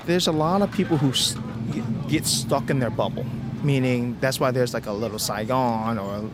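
An American man gives a casual interview as a chef, speaking at a middling pitch. Street and vehicle noise is clearly audible behind him outdoors. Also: normal speed. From United States. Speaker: restaurant owner and chef interviewee.

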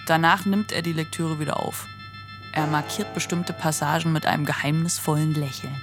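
A woman's voice: deep voice, fairly even intonation, moderate pace, over music, natural voice. A woman narrating a poetic radio play as a scripted storyteller.

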